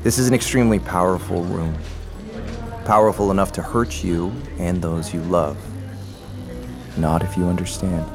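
A man's voice: low-pitched, steady tone, normal speed, pauses often, music in the background. A man acting a menacing, leering enforcer in an audio drama, with a taunting, domineering manner. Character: menacing, leering male enforcer of a secret party's rules.

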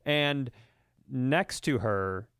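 A man with a smooth, resonant voice recorded very dry tells casual comic stories as a podcast host. He is animated and profane, leaning into exaggerated disbelief as he banters. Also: medium pitch, extremely slow, no room sound. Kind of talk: casual comedic storytelling banter.

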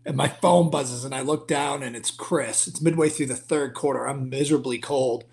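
A man, a sports broadcaster, chatting casually as a guest and telling anecdotes in a self-deprecating, halting way. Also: mid-range voice, creaky, a little mellow, slight background noise. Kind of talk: casual interview chat, anecdotes.

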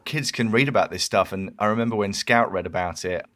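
This is a male interviewer with a very deep, low voice. He speaks gently and conversationally, with stammered restarts as he frames his questions. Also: brisk. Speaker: host.

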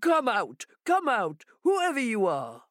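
Woman playing a bold, higher-pitched indignant monkey. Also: wildly swooping pitch, slow pace, no room sound, clean studio sound, exaggerated voice.

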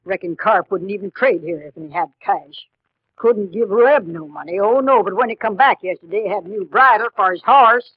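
An actor playing a garrulous, complaining storekeeper's wife with a rural Western American accent in a 1950s radio western. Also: male voice, high voice, normal speed, husky, no echo. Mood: plaintive, complaining. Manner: chatty, complaining. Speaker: supporting actor. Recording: muffled, dry 1950s radio recording.

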